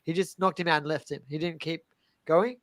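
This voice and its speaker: A man's voice: a little high, steady tone, a little fast, fairly bright tone, dry recording, studio quality. A man on a casual podcast panel with an easygoing, chatty manner who steers the conversation to new topics.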